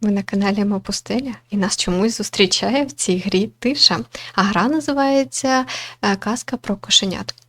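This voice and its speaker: A woman reading a visual novel aloud as host, with expressive storytelling and casual commentary. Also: medium pitch, moderate pace. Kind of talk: narrated read-through with casual commentary. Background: game music.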